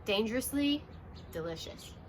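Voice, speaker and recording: A woman puts on a cunning fox ninja from a children's storybook. The manner is gentle and falsely innocent, with polite, drawn-out words in a high register. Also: expressive, a little hiss or hum, close to an everyday voice. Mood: sly, innocent. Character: sly, polite fox ninja feigning deafness. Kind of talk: children's storybook read-aloud.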